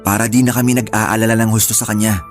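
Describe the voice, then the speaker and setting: A man's voice: fairly low pitch, level tone, fast talker, faint music behind, close to an everyday voice. Troubled, earnest young man, played by an actor. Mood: resigned, explaining. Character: worried young man, devoted son of an ailing mother. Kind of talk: radio drama acting.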